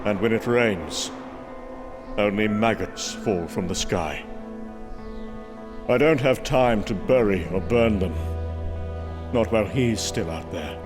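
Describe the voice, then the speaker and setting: An actor playing an earnest, grave warrior prince and god of thunder, speaking formally and solemnly in an audio comic drama. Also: male voice, on the low side, slow pace, pauses often. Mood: grim, solemn. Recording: music bed under the voice. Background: music.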